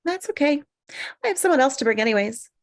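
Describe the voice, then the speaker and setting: A woman's voice: high-pitched, lively intonation, fast. A woman playing a hapless, defiant single woman in a comedy table read, conversational and falsely breezy. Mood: awkward.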